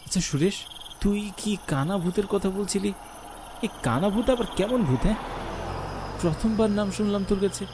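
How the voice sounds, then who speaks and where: Puzzled, loyal young male friend for horror cartoon, excitable high register man performing, faint insect noise. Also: moderate pace, pauses often. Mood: puzzled questioning.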